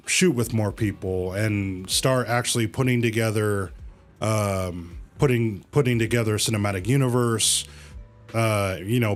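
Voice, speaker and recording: A man hosting a casual game livestream, rambling along and addressing his viewers as y'all. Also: lowish voice. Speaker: livestream host. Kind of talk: casual game stream commentary.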